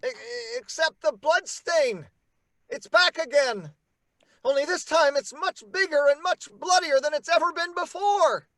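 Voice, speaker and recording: A man's voice: very high-pitched, a little slow, fairly bright tone, dry recording. A man playing an earnest, boyish American teenage son in an old-time radio drama. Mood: earnest, uneasy. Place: small treated recording booth. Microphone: close studio microphone.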